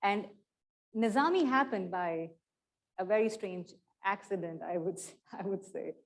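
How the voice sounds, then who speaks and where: A woman lecturer answering audience questions in a measured, thoughtful way, with small hedges and restarts. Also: mid-range voice. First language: not Persian. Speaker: guest lecturer. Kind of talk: academic Q and A answers. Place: lecture room.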